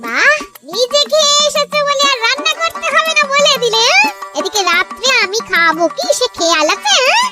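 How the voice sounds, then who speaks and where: A woman's voice: very high voice, big pitch swings, brisk. A woman voicing a bossy, touchy middle-aged housewife in a cartoon, melodramatic and complaining. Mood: scolding, offended. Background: children's cartoon music.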